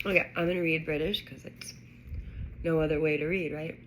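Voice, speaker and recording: A woman's voice: deep voice, steady tone, fairly quick, a little hiss or hum, poor audio. A woman reading to a child, talking casually with chatty, informal asides. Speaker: storyteller reading a children's book aloud to a child. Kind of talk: casual chat and read-aloud narration.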